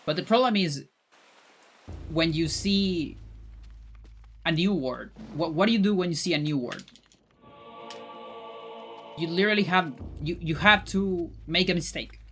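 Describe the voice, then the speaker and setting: A man's voice: on the high side, expressive, a little slow, long pauses, fairly bright tone, soft background music. A man from Chile, a native Spanish speaker with a Chilean Spanish accent, chatting casually with viewers while gaming, musing aloud with frequent restarts. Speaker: streamer.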